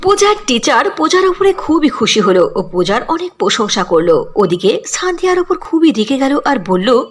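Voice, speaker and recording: High, lively woman storyteller. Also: moderate pace, breathy edge. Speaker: narrator. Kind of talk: scripted fairy-tale narration.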